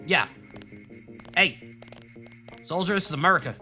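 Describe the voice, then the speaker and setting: A man rambles and jokes while he streams a game and talks to his chat. His voice sits fairly high and sounds bright and forceful. Faint game music plays underneath. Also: lively intonation, a little slow. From Rhode Island, New England. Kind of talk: casual let's-play commentary.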